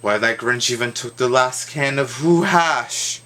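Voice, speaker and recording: A man giving a theatrical, expressive dramatic reading of a rhyming children's story, drawing out words for emphasis. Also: mid-range voice, unhurried, slight background noise.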